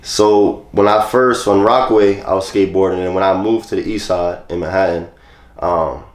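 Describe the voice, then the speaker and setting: A man's voice: low voice, moderate pace, reverberant. A young man, chatting loosely about his past as an interview guest. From New York. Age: 20s. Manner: relaxed, loose phrasing with many "like" fillers. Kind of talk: casual interview.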